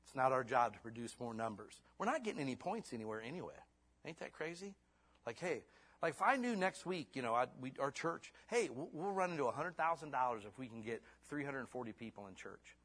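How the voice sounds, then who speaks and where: A man in his fifties preaching a sermon in church. He swings between hushed, intimate lines and fervent, rising exhortation, then closes in prayer. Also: medium pitch, big pitch swings, a little fast. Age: 50s. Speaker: pastor.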